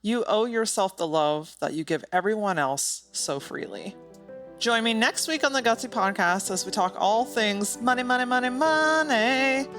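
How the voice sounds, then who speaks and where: A woman's voice: fairly high pitch, moderate pace, fairly bright tone, faint music behind. A woman hosting a solo motivational podcast, speaking in an emphatic, direct and informal way with some casual swearing. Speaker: podcast host.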